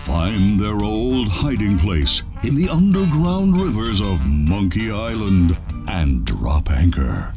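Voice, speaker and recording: A man's voice: fairly low pitch, sing-song, slow, exaggerated voice. A man playing the grand narrator of a pirate tale, reading scripted video game narration. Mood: ominous. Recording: guitar music under the voice.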